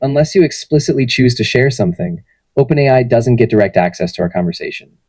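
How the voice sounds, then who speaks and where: A man's voice: medium pitch, fast talker, slightly rough, dry recording. A synthetic male AI assistant voice giving friendly, polished answers in an interview. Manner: friendly, even, polished delivery. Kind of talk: conversational answers to interview questions.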